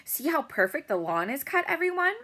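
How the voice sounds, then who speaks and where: A woman's voice: sits high, big pitch swings, fairly quick, sharp tone, voice pushed up, heavily acted. Woman playing a squealing spoiled rich girl. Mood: bragging. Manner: squealing, bragging, whiny.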